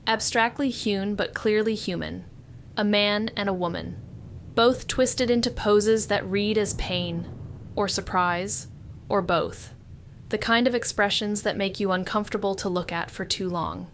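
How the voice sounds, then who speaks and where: An actress playing a female amateur sleuth in a noir audio drama, introspective and steady, with deliberate narration and dry, understated dialogue. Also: mid-range voice, a little hiss or hum, lightly acted. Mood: brooding, reflective.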